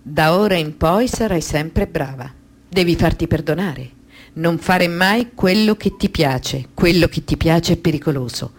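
Radio drama: wounded, haunted woman survivor; deep female register, husky grain, intense and deliberate. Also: lively intonation, exaggerated voice. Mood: stern, slow. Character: anguished woman abuse survivor recounting her trauma. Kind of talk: dramatic radio monologue, literary narration.

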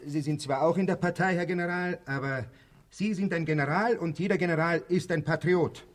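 A man in a German radio drama playing a deferential, haunted middle-aged barber and executioner who speaks haltingly and repeats himself. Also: on the high side, lightly acted. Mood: obedient, earnest. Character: servile, haunted barber and executioner, rambling simple soldier type.

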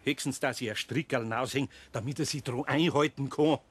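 A man's voice: medium pitch, moderate pace, husky, lightly acted. An actor playing a worried, good-hearted older craftsman with a Bavarian accent, grumbling and flustered in a radio play. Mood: flustered pleading. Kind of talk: German children's radio play acting.

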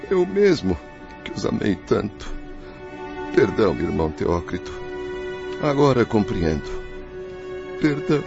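An anguished, pleading father, swooping pitch. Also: vocal fry, full character voice. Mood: remorseful, broken. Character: tormented, desperate father spirit, remorseful and pleading. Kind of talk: radio drama acting. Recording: dull lo-fi audio under music.

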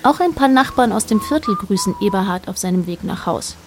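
A woman narrating a literary radio play in a measured, precise manner. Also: mid-range voice, lively intonation, noisy background, lightly acted.

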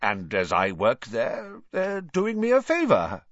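A man's voice: mid-range voice, wildly swooping pitch, takes it slowly, a bit bright, no room sound, highs cut off, voice pushed up, exaggerated voice. A man voicing an earnest, mild middle-aged father in a storybook reading. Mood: casual.

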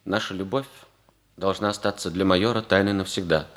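An actor playing a noble, lovelorn young cavalry officer in a theatrical, sighing manner in a 1960s radio comedy. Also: male voice, sits low, steady tone, fairly quick, a bit bright. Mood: grave resignation. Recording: 1960s radio play with music behind.

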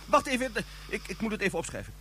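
A man's voice: sits high, wildly swooping pitch, quick pace, pushed and bright, slight background noise, full character voice. A man acting a nervous, panicky secret agent in a radio drama, stammering and flustered. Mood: panicky, pleading. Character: nervous, panicky male secret agent cracking under pressure.

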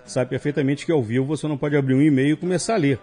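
Jokey Brazilian radio host, mellow voice. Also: male voice, a little high, very fast. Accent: Brazilian Portuguese. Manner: jokey, reads messages aloud with asides. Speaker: radio host reading listener emails. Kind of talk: casual live radio chat.

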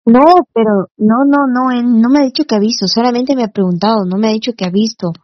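A woman's voice: a little high, fast, no echo. Woman on a muffled phone call. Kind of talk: casual private phone conversation. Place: phone call, one side heard. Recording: dull lo-fi intercepted phone call audio.

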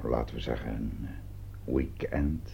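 An actor playing a stern, sarcastic police interrogator with a curt, probing delivery in a radio play. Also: male voice, rumbling low, fairly even intonation, unhurried, vocal fry, dark tone, slight background noise, exaggerated voice. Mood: pointed, accusing. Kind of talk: Dutch radio drama dialogue. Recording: dull, band-limited old radio recording.

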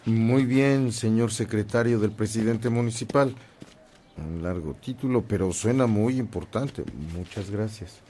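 A man playing a gentle, devout priest in a radio drama, speaking with great courtesy and quiet humor. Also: on the low side, unhurried. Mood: courteous. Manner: polite, soft-spoken courtesy. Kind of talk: Spanish-language radio drama acting.

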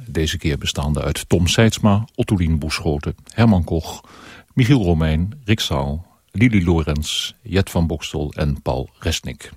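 A man's voice: a bass voice, measured pace, darkish tone, husky, no echo. A man reading a scripted introduction to radio plays as the programme's announcer.

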